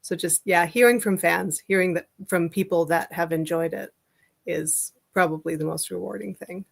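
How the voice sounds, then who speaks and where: A woman's voice: on the low side, moderate pace, gravelly. A woman talking casually and sincerely as an interview guest, a little hesitant as she finds her words.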